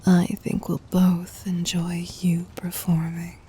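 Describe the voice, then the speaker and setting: A woman playing a regal, adoring demon queen in an intimate ASMR roleplay, whispery and breathy, speaking formally with tender command. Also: lowish voice, monotone, slow, mellow, slight background noise. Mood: teasing, seductive.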